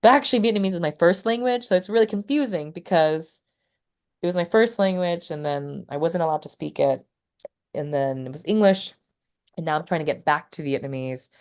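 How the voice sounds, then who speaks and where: A woman's voice: lowish voice, brisk, darkish tone. A woman poet and teacher talking casually in an interview, rambling and full of fillers like "like" and "you know.".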